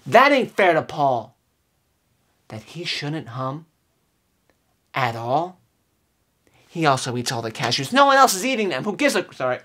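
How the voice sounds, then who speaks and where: A man in his twenties giving an earnest, overwrought dramatic reading, with emphatic phrasing and deliberate pauses. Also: fairly high pitch, fairly bright tone. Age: 20s. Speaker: comedic performer. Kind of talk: theatrical dramatic reading of song lyrics. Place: indoors, small room with curtain backdrop.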